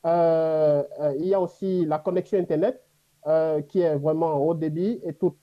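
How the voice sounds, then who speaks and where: A man from Africa whose first language is a Gbe language, answering interview questions reflectively with many pauses and fillers. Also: on the high side, fairly even intonation, dull audio. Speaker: guest.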